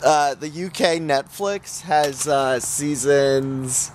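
A man's voice: a little high, slow, slight background noise. Young man doing a playful sponsor read. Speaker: video creator.

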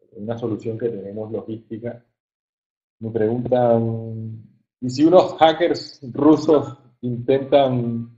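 A man in his thirties with a Colombian coastal accent giving an informal online presentation, haltingly, repeating words as he searches for phrasing. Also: medium pitch, warm tone. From Caribbean coast of Colombia. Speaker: webinar presenter, software engineer. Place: online webinar. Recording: muffled narrow-band online call audio.

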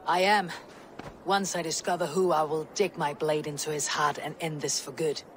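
An actress playing a stern, determined young Viking warrior woman in a video game, with a husky voice. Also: low-pitched, steady tone, moderate pace, slight background noise, lightly acted. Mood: firm, secretive. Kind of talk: video game dialogue acting.

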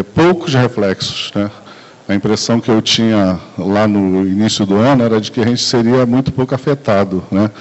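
A man with a Brazilian accent giving a relaxed, conversational sales presentation at a conference, into a handheld mic in a large room. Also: fairly low pitch. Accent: Brazilian Portuguese. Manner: relaxed, conversational, frequent tag questions and fillers. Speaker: sales talk speaker. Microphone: handheld mic, recorded by far camera. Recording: dull lo-fi camcorder audio.

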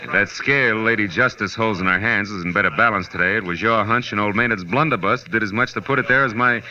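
Deep, hard-boiled radio private eye. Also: male voice, fast, pushed and bright. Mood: wry, confident. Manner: clipped, slangy, sardonic. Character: wry, tough male private detective, world-weary but kind. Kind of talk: 1940s radio drama acting, hard-boiled first-person narration. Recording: dull 1940s radio recording, faint music.

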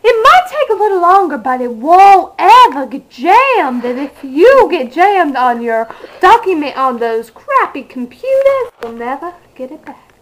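A young woman playing a bubbly typewriter fairy making a sing-song sales pitch in an amateur mock infomercial. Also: sing-song, unhurried, few pauses, fairly bright tone, heavily acted. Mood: bubbly, salesy. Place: small carpeted attic room, indoors. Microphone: handheld camera. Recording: echoey home room, camera mic.

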